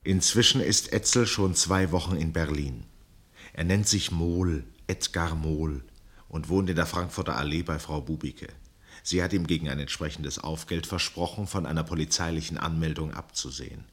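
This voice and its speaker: Very deep male voice, husky, measured literary narration, precise, dramatic pauses, vintage radio play. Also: a bit bright.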